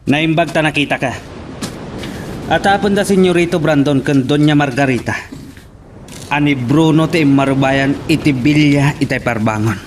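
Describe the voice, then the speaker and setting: A man's voice: a little high, moderate pace, far from the mic, lightly acted. A man playing a cocky, suspicious village man in a radio drama. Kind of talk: Ilocano radio drama acting. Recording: clearly noisy radio drama track.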